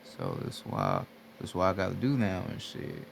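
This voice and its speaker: A man's voice: sits low, huge pitch swings, normal speed, creaky, slight background noise. A man giving a casual spiritual talk, blunt and repetitive, swearing freely as he urges listeners to wake up. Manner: blunt, repetitive, heavy profanity. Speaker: spiritual teacher. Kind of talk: casual motivational monologue.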